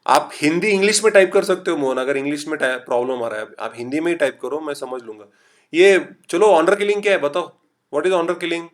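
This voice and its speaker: A man from Maharashtra with a slight Marathi accent, teaching a live online class in a chatty style and mixing in English. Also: on the high side. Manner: chatty, reads out students' typed replies; urges quick answers, mixes English. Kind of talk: online lecture.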